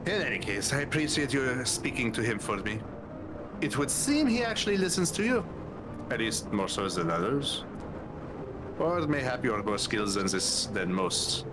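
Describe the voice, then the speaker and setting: A man streaming a game and reading its dialogue aloud in a measured, mock-formal tone with dry asides. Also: mid-range voice, very animated, background noise. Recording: music playing behind.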